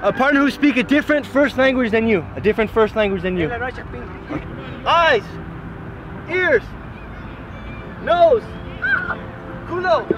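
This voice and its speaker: A man coaches players outdoors, calling out in a loud, energetic voice. His voice rides high, caught by a distant mic with a noisy crowd and music around him. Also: unhurried, long pauses. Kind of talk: coaching on a field. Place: outdoor soccer field.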